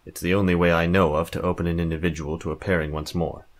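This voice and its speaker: A man playing an earnest, awkward young mage in a visual novel, explaining things in a formal, measured way. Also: low-pitched, little pitch movement, slight background noise, lightly acted. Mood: grave. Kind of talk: visual novel character acting. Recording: music bed behind the voice.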